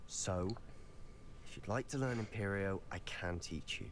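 An earnest young student wizard. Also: male voice, sits low, a little slow, background noise. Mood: confiding. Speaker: game companion character. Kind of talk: video game dialogue.

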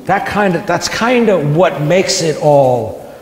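A man's voice: fairly high pitch, animated, slow pace, a little mellow, a little hiss or hum. Impassioned man reading in an echoey hall. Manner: impassioned, building to emphatic peaks. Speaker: public speaker. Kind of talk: live talk reading his essay to an audience.

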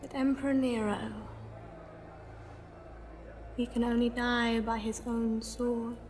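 An actress voicing a tattooed female seer who speaks slow, ominous prophecies in a game cutscene. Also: fairly high pitch, little pitch movement, a little mellow, a little hiss or hum. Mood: cryptic. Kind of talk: video game cutscene acting.

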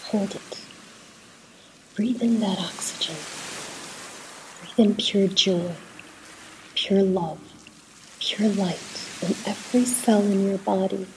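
Slow, soothing woman guiding meditation. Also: mid-range voice, mellow, a little hiss or hum, poor audio. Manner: soothing, unhurried, pausing between short instructions. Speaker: guided meditation narrator.

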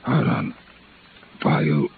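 An actor playing a desperate, wounded man in a radio drama, gasping weakly and halting between words. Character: stabbed, desperate man in love, barely able to speak. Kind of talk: 1950s radio drama acting. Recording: tinny, hissy old radio recording.

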